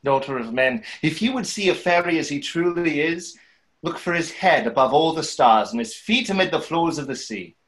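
A man's voice: on the high side, animated, normal speed, a bit bright. A young man playing a mysterious cloaked stranger who poses as a fairy, speaking in lofty, poetic riddles in a play reading. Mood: grand, solemn. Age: young adult. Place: small room at home, on a video call.

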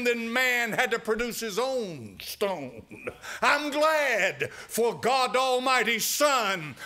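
High, bright-voiced fervent older preacher. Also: male voice. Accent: American. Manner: fervent, rising to shouts. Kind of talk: sermon. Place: church sanctuary. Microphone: pulpit mic.

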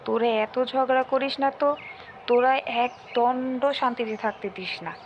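A woman's voice: on the high side, fairly even intonation, moderate pace, lo-fi sound, a little hiss or hum, lightly acted. A woman playing a weary, exasperated village mother in a cartoon folk tale, given to scolding.

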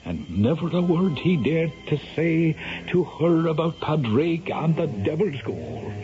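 An actor with an Irish accent playing a roguish, blustering storyteller knight in a theatrical radio drama style. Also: male voice, on the high side, very animated, a little slow, music in the background. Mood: lively storytelling. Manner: theatrical, stammering when agitated. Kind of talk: 1970s radio drama acting. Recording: dull, noisy vintage radio recording.

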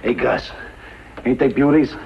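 An actor playing a greedy, cocky gunrunning boss in a 1950s radio western, with a folksy, self-assured delivery. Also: male voice, mid-range voice, fairly even intonation, a little slow, vocal fry, over loud music. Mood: gleeful. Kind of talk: 1950s radio drama acting. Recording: muffled, hissy old radio recording.

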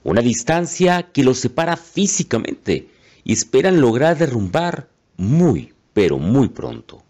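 A man reading a news voice-over in a lyrical, measured way. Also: mid-range voice, very animated, mellow. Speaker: news reporter. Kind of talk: scripted voice-over narration.

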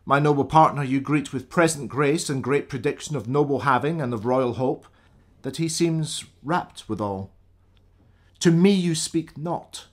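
A man's voice: fairly high pitch, measured pace. A man playing a bold, questioning noble warrior in a classical radio drama. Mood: challenging.

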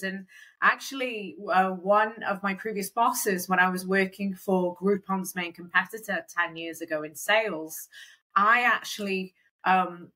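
A woman's voice: mid-range voice, sharp tone, poor audio. A woman, a recruitment company founder, chatting as a guest in an interview, with a lot of "kind of" and "um". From Wales. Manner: chatty, lots of fillers like "kind of" and "um". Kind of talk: conversational interview.

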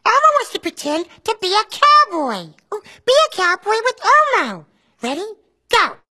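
A man's voice: very high voice, wildly swooping pitch, normal speed, pushed and bright, full character voice. A man voicing a giggly little red monster toddler who talks about himself in the third person. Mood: playful. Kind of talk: children's puppet show voice acting.

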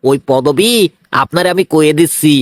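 A man's voice: on the high side, normal speed, no room sound, clean studio sound, natural voice. A man voicing a brash, bullying cartoon police inspector, blunt and threatening, with a Bangladeshi regional Bengali accent. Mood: menacing. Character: brash, bullying male police inspector, tough and self-righteous. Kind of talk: comic cartoon dialogue.

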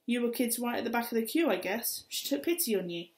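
A woman's voice: fairly high pitch, expressive, fast talker, slight background noise, pitched above the performer's own voice. A woman voicing a shifty, wary adult male stranger in an audiobook, evasive and defensive. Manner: evasive, defensive, streetwise slang. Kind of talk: audiobook character reading. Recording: roomy echo.

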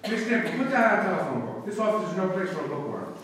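A man's voice: sits high, sing-song, crowd noise. An actor playing a scheming, wisecracking male lawyer in a radio comedy before a live audience. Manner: rapid wisecracking patter. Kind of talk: live radio play recreation. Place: community meeting room with audience. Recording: echoey hall, mic far off.

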